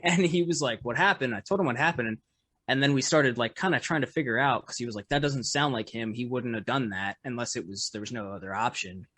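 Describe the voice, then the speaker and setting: A man, a musician guest on a podcast, with a loud voice, telling a story in casual chat full of fillers and restarts. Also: mid-range voice, fast, slightly rough, no echo. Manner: rambling, lots of fillers and restarts, laughs often.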